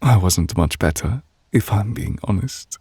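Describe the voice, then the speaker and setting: A man playing a gentle, refined, possessive witch in love, speaking breathy and hushed in an intimate ASMR romance roleplay. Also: deep voice, animated, a little slow, no echo, clean studio sound, exaggerated voice. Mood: tender, nostalgic. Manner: gentle, refined, wistful, formal phrasing. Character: soft-spoken, tender, possessive male witch in love.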